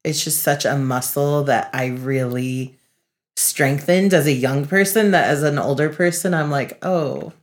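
A woman's voice: deep voice, unhurried. A woman co-hosting a podcast, talking casually in an earnest, introspective way. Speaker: podcast co-host, facilitator and speaker. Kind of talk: casual reflective podcast chat.

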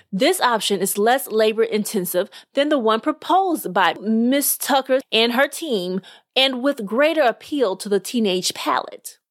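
A woman voicing a tall, freckled teenage boy debater who argues briskly. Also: a little high, dry recording, clean studio sound. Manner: argumentative, brisk.

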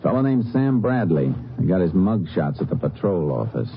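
An actor playing a calm, matter-of-fact Texas Ranger in a 1950s radio drama, questioning people in clipped, deadpan phrases. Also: male voice, deep voice, normal speed. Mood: measured, probing. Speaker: lead actor and narrator. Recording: muffled vintage radio recording with music.

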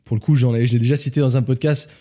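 A man from France, a podcast guest, telling stories in a relaxed, casual chat. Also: medium pitch, racing. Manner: relaxed storytelling, animated when joking.

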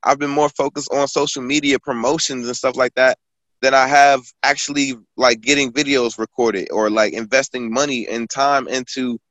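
A man's voice: mid-range voice, steady tone, moderate pace, fairly bright tone, dry recording, highs cut off. A man in his twenties, a guest author and poet, talking casually in a rambling storytelling way, full of fillers and restarts. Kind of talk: casual conversation.

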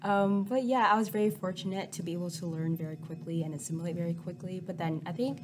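A young woman from Vietnam who grew up speaking Vietnamese talks in a fairly low voice. She chats casually and fills her sentences with "like.". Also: fairly even intonation, fast talker. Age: teens. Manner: chatty, frequent "like" fillers. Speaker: guest student. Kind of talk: casual conversation.

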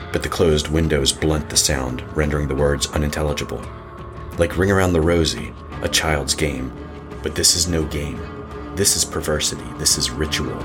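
A man narrating a scripted horror audio drama in an ominous, literary manner. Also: rumbling low. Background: music.

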